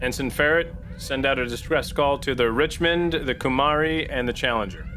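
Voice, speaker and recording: A diplomatic starship captain, played by a man in a tabletop game. He talks formally but haltingly, in a medium male pitch, with faint noise behind him. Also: sharp tone, lightly acted. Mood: commanding.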